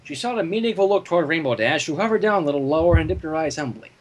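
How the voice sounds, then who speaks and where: A man reading fan fiction aloud in an animated way, breaking off for joking banter. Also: a little high, racing. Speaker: fan fiction reader and narrator.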